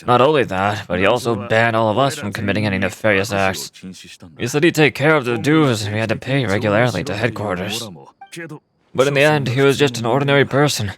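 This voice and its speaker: A man voicing a grave, loyal desert gang member in a game, explaining things in a measured amateur read. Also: mid-range voice, natural voice. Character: grave, loyal male desert gang member recounting his boss's sacrifice. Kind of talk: amateur voice acting of game dialogue.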